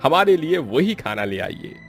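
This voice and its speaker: A man's voice: fairly high pitch, very animated, normal speed, faint music behind. A man playing a dignified cartoon priest. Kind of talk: Hindi moral-story cartoon dialogue.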